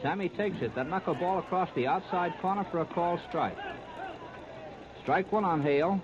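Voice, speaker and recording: A man's voice: sits high, normal speed. An American man giving flowing, detailed baseball commentary in a 1930s radio style. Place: outdoor ballpark broadcast. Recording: noisy, muffled 1939 radio disc recording.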